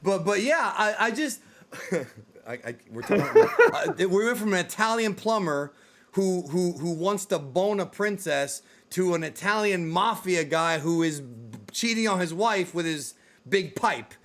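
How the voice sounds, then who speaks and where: A man's voice: high-pitched, animated, measured pace, fairly bright tone, a little hiss or hum. A man hosting a casual discussion of films and games, talking in a blunt, informal way that runs to swearing. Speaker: video show host. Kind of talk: casual film and game discussion.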